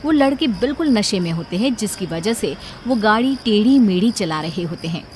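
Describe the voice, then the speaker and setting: A woman's voice: mid-range voice, lively intonation, moderate pace, a little mellow, slight background noise. A woman reading scripted story narration, with music behind her voice.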